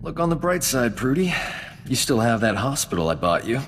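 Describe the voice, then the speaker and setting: An actor playing a cocky, flirtatious, boastful rogue doctor in a sci-fi video game, glib and smooth-talking. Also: male voice, medium pitch, big pitch swings, fairly quick, long reverb tail, a little hiss or hum. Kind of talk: video game dialogue acting.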